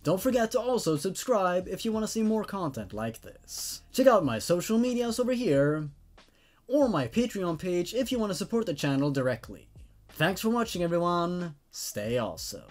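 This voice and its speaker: A man hosting a casual online story review, talking to viewers in a halting, stammering way with repeated words. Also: sits high, sing-song, darkish tone. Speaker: host of a horror story review show.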